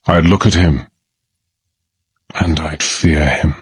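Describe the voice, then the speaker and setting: An actor playing a gentleman adventurer who recounts a dangerous undercover exploit in a grave, confiding manner, as dramatized first-person narration. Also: very deep voice, fairly even intonation, takes it slowly, husky, exaggerated voice.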